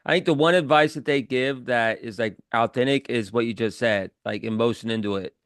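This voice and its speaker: Rambling male podcast host, smooth voice. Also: mid-range voice, fairly quick, a bit bright, no room sound. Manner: rambling, heavy on fillers. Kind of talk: casual podcast interview.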